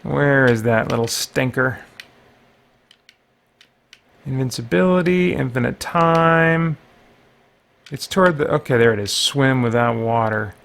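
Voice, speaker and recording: A man's voice: mid-range voice, measured pace, vocal fry, pauses often, a bit bright. A middle-aged man giving casual, playful gameplay commentary as a host, rambling and thinking aloud as he goes. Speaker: host of a video series. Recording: video game music behind the voice. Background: retro video game music.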